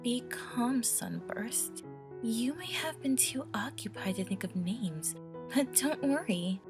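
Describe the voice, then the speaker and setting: A woman voicing a gentle, reassuring young mother-to-be pony mare in a dramatic story reading. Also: on the high side, animated, slow pace, music in the background. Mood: calm, reassuring. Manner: soothing, kind.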